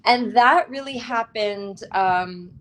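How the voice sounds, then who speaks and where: A woman speaking as an interviewed author, earnest and reflective, with deliberate, emphatic phrasing and pauses. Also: a little high, a bit bright.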